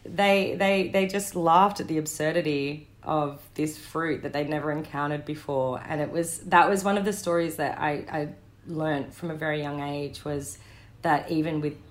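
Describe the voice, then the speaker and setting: An Australian woman in her thirties, an interview guest telling family stories in a thoughtful way, pausing with ums and restarting her sentences. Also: deep voice, fairly even intonation. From Western Sydney, Australia. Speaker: interview guest, director and actor. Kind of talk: reflective conversational storytelling.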